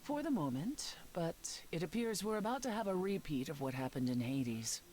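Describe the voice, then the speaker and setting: An actress playing a composed immortal woman leader in a story game, speaking in a measured, formal way. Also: fairly low pitch, lively intonation, warm tone, natural voice. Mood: solemn, resolved. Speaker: voice actor. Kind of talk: dramatic dialogue in a story game.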